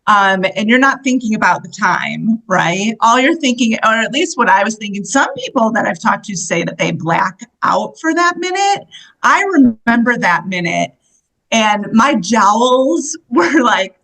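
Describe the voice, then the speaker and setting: A woman in her fifties from the United States, a guest telling stories in a casual interview, animated and laughing often. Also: a little high, a little slow, poor audio. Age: 50s. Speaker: guest, solo travel coach.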